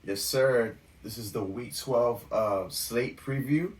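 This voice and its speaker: A man co-hosting a sports podcast, going over game matchups in a relaxed chatty way with lots of fillers. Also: mid-range voice, slow, distant mic, rough recording. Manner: relaxed, talks through stats with fillers. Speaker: podcast co-host. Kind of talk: casual sports podcast chat.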